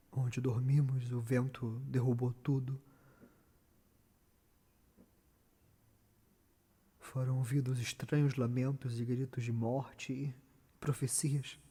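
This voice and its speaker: A man's voice: mid-range voice, dark tone, breathy edge. A Brazilian man playing a tormented, guilt-ridden, ambitious murderer in a solemn, declamatory Shakespearean stage monologue. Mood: hushed, haunted. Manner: declamatory, solemn, dramatic pauses. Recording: echoey hall with long reverb.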